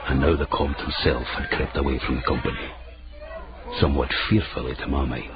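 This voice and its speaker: An actor playing a watchful, wry Scottish manservant who narrates his own tale in a measured, dry way for a radio drama. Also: extremely low pitch, steady tone, loud music behind the voice, a loud crowd. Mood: wry, brooding. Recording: muffled radio broadcast, clear background noise.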